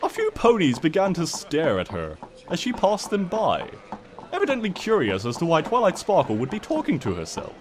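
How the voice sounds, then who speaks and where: Theatrical, highly animated male storyteller. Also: normal speed, background noise. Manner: theatrical, smug, wry. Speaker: story narrator. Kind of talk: dramatic comic storybook narration.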